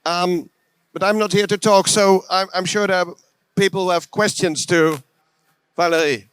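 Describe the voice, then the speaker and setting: A man moderating a conference session, speaking in a halting way with repeated words. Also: high-pitched, steady tone, fairly bright tone, smooth, dry recording.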